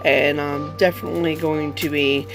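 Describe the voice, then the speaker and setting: A man with a slightly hoarse voice hosting his vlog in a rambling, casual way, with many fillers. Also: fairly high pitch, level tone, normal speed, over music. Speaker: vlog host.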